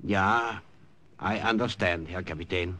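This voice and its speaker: A man's voice: deep voice, unhurried. A man acting a polite, reserved German lieutenant with a German accent in an old radio war drama. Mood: restrained, obedient. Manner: formal, courteous, restrained. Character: polite, reserved German male lieutenant turned Allied interpreter. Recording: very noisy old radio recording.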